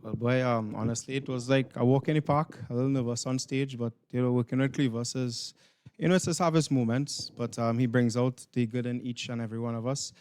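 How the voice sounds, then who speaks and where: A man's voice: medium pitch, fairly even intonation, brisk, a little mellow, smooth. A man with a Trinidadian accent, a stage performer giving casual answers in an interview.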